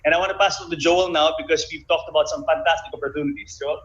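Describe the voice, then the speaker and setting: Through muffled, low-fidelity call audio, a man with a moderately high voice talks as an interview guest. He lays out his answers in an articulate, explanatory, conversational way. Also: steady tone, fast talker, a bit bright, roomy sound. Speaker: guest, bobsled team president. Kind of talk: casual interview talk. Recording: dull, lo-fi online call audio.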